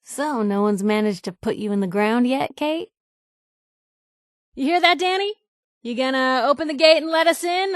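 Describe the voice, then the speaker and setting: An actress playing a feisty, wry young newspaper reporter in a video game, with an American accent and a conversational, sardonic delivery. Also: female voice, sits high, no echo, studio quality, close to an everyday voice. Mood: teasing, urgent. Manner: sardonic, conversational, quick-witted asides. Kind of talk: video game companion dialogue.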